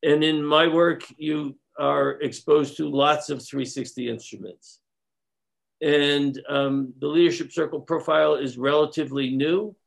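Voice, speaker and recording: A man's voice: fairly high pitch, fairly even intonation, a little slow, vocal fry. A man, a consultant guest in an interview, explaining his work step by step with some restarts.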